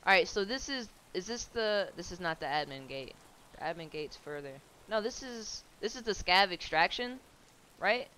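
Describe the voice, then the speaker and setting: A woman in her twenties with a low voice, streaming a game from home on a headset, chatting loosely with viewers, swearing and laughing. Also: expressive, normal speed, pushed and bright, clean tone. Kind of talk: casual chat while gaming.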